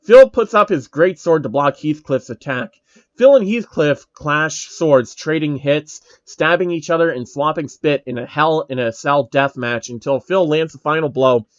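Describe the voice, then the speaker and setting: Guy reading a funny story out loud, irreverent asides, kinda dull dry recording. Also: on the high side, moderate pace, no echo. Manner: casual, irreverent. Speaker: narrator.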